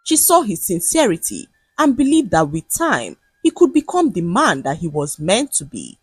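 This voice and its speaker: Woman storyteller, hugely expressive pitch. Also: moderate pace, breathy edge, no room sound. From Nigeria. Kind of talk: scripted folktale narration. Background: music.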